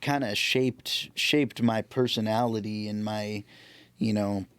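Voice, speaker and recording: A man's voice: on the low side, steady tone, takes it slowly, a bit bright, clean tone. A man speaking as a podcast guest, in casual, reflective interview chat.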